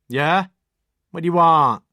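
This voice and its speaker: A man's voice: a little high, slow, bright, forceful tone, no echo, voice pushed up. A man doing a woman with an Oxfordshire accent, blunt, suspicious, rude, dry sound. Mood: hostile. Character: rough local woman on the phone, rude.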